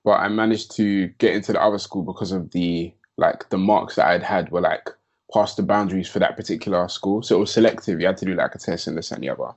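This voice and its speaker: Laid-back young man, low voice. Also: fast talker. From London, England. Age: young adult. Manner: laid-back, slangy, laughs often. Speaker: podcast guest. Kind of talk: casual chat.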